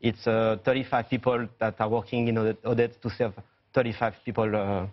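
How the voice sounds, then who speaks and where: A man, a chef and restaurant owner, answering questions in a TV news studio, pausing often with fillers. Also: on the low side, little pitch movement, highs cut off. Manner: hesitant, many fillers and restarts. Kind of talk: studio news interview guest.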